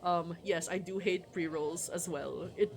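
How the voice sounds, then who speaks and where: A woman streamer chatting casually and reading aloud from a visual novel, talking in a chatty, hesitant way with pauses. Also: on the low side, fairly even intonation, darkish tone.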